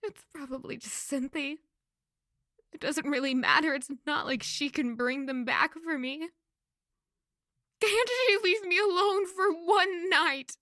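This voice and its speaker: High-voiced, wistful young runaway woman. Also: a little slow, sharp tone, no room sound, clean studio sound. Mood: bitter, upset. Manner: dramatic, anguished self-questioning. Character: self-blaming young runaway woman, wistful and highly emotional. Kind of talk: audio drama acting with reflective narration.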